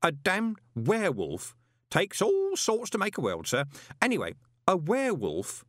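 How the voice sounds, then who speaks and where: A man's voice: sits high, huge pitch swings, a little slow, fairly bright tone, no room sound, clean studio sound, voice pushed up, full character voice. A man voicing a chatty, deferential elderly postal worker with a rambling, conspiratorial way of talking. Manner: gossipy, rambling, ingratiating.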